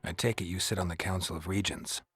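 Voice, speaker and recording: A man's voice: deep voice, fairly even intonation, fast talker, a bit bright, slightly rough, no room sound, exaggerated voice. A man playing a stoic, world-weary mercenary monster hunter in a fantasy video game, speaking in a terse, dry, understated way. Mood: pressing. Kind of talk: dramatic fantasy game acting.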